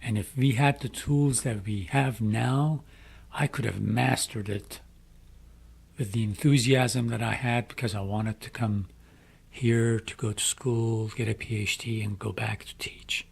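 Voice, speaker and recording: Slow, gravelly Iranian-accented man. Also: medium pitch, fairly even intonation. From Iran. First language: Farsi. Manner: slow, deliberate, emphatic phrasing. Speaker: speaker addressing young people. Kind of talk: earnest inspirational appeal.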